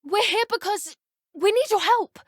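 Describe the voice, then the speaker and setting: A woman voicing an earnest, caring teenage witch with a British accent in a video game, speaking in an articulate, gently expressive way. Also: very high-pitched, moderate pace, dry recording, clean studio sound. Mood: urgent pleading. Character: earnest, caring teenage witch schoolgirl who loves creatures. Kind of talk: video game character dialogue.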